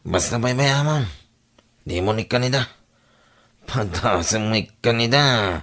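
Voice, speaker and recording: A man with a Filipino accent playing a calculating, smooth-talking boyfriend without a job in an audio drama. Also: mid-range voice, expressive, a little slow, fairly bright tone. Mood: coaxing. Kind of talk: Ilocano audio drama acting.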